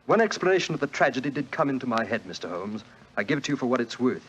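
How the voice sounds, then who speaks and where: A man's voice: mid-range voice, big pitch swings, fast, a bit bright. An actor playing a proud, defensive wealthy man in a 1940s radio drama, forthright and formal in his speech. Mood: grave, earnest. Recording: noisy dull 1940s radio recording.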